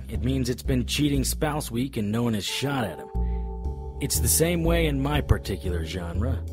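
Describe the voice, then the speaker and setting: An actor playing a world-weary, wisecracking supernatural private eye in a noir radio drama, with sardonic old-detective patter and a husky voice. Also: male voice, fairly low pitch, a little mellow, close to an everyday voice.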